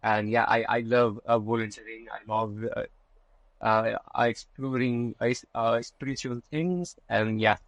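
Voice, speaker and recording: A man's voice: mid-range voice, fairly even intonation, a little slow, no room sound, lo-fi sound. A young man in his twenties from Nepal, a guest on a video call, answering hesitantly with many fillers and mixing Nepali and English. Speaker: guest, program coordinator. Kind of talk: casual interview answers, mostly in Nepali.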